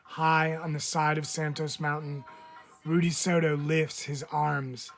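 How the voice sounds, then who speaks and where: A man reading a picture book aloud as a teacher would, expressively, with deliberate dramatic phrasing and frequent pauses. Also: on the high side, level tone, a bit bright. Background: background music.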